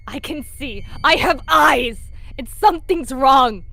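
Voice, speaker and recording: A woman voicing a stubborn, grieving young male apprentice cat who shouts and stammers, with faint music behind. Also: high voice, expressive, slow pace, vocal fry, voice pushed up, exaggerated voice. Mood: distressed.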